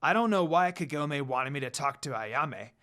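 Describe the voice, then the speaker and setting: A man voices an earnest half-demon, a younger brother in a fantasy story reading. He speaks in a subdued, sincere way with some movement in pitch. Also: lively intonation, normal speed, a bit bright. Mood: reassuring.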